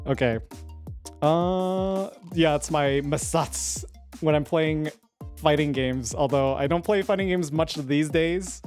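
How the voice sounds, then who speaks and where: A giggly, chatty streamer talks casually in a mid-range voice, rambling with plenty of filler words. Soft music plays quietly underneath. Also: mid-range voice. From United States. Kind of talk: casual live-stream chat.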